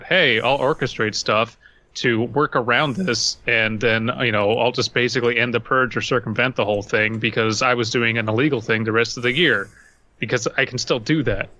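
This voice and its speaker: A man with a bright, forceful voice at average pitch. He co-hosts a podcast, giving dismissive, argumentative takes in casual chat. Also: steady tone, moderate pace, rough recording. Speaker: podcast co-host.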